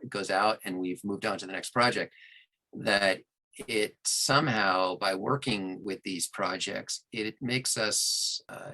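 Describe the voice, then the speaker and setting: A man with a fairly low voice and a very bright, projected edge talks as a guest in an interview. He explains his creative work expansively, leaning on key words with clear enthusiasm. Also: rough recording. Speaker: interview guest, audiobook narrator and producer. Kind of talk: conversational explanation of craft.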